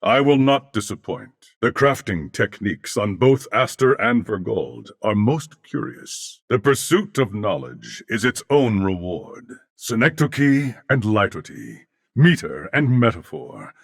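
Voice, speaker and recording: A man voicing a courteous older alien warrior-scholar in a video game, speaking formally and eloquently with measured diction. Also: lowish voice, sing-song, dry recording, clean studio sound, exaggerated voice. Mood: scholarly, content. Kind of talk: video game character voice lines.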